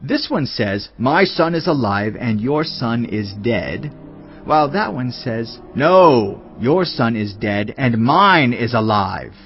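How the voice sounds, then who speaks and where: Young bible king, earnest n deliberate, regal, slightly muffled w soft music. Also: mid-range voice, very animated. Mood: commanding. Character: earnest, reverent young king who judges firmly. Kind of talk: dramatised audio Bible acting. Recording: slightly dull, music underneath.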